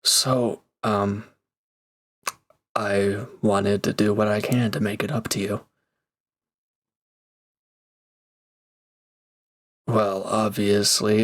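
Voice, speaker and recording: A man's voice: on the low side, fairly bright tone. A man playing a reformed villain turned mercenary in an intimate roleplay audio drama, speaking casually and hesitantly with awkward, earnest gratitude. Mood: sincere, reassuring.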